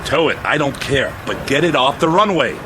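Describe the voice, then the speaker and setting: A man playing a gruff, impatient American air force major in a radio drama, brusque and commanding. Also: mid-range voice, animated, normal speed, noisy background, natural voice.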